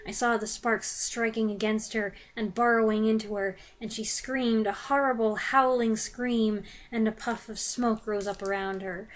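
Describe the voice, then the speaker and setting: A woman giving an expressive dramatic reading of a children's book, with joking asides. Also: a little high, moderate pace, hardly any pauses. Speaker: reader.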